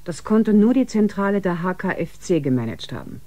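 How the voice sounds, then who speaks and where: A humane spaceship doctor in a sci-fi radio play. The voice is low and mellow, with a calm, matter-of-fact delivery. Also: female voice, brisk. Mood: guarded. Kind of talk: sci-fi radio drama acting.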